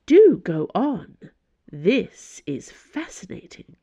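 An imperious older female cardinal, as a woman narrator puts her on for an audiobook. She speaks slowly and haughtily, with wide swoops of pitch and silky, sarcastic menace. The recording is slightly dull. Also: big pitch swings, dry recording. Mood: scornful. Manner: slow, haughty, sarcastic delivery. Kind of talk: audiobook character voice.

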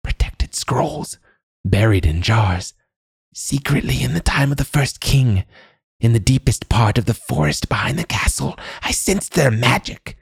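A man voicing a hunched, eager and bitter old woman, an outcast mage in a fantasy story, with a croaking, breathy voice. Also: mid-range voice, dry recording, pitched above the performer's own voice, heavily acted. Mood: proud, eager. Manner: halting fragments, then eager outpouring.

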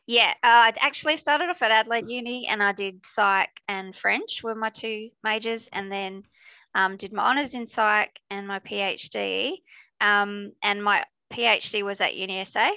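A woman's voice: mid-range voice, moderate pace, creaky, pushed and bright, smooth, no room sound, highs cut off. A woman with an Australian accent, an academic guest chatting casually in an interview. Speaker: academic researcher, podcast guest.

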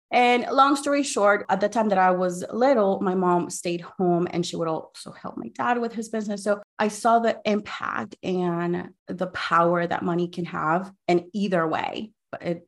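A woman from Ecuador, a podcast guest, telling her story in a quick, enthusiastic way with frequent "like". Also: on the low side. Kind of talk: conversational interview.

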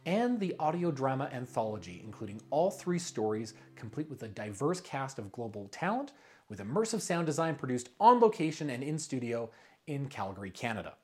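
A man reading a scripted promotional pitch as a creative director, with dramatic storyteller-like emphasis. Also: darkish tone.

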